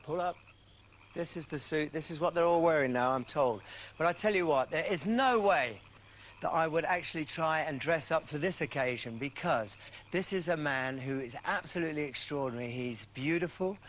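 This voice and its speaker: A man's voice: fairly high pitch, moderate pace, a little hiss or hum. A man hosting a TV chat show in a camp, jokey way, flamboyant and teasing, often tripping over his words. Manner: flamboyant, teasing and excitable, with frequent restarts.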